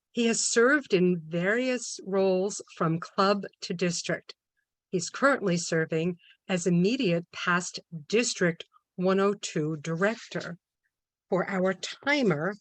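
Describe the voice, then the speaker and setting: Woman, online meeting host, slow and formal delivery, dry video-call sound. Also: lowish voice, dry recording. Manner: measured, formal. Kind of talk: introducing a speaker.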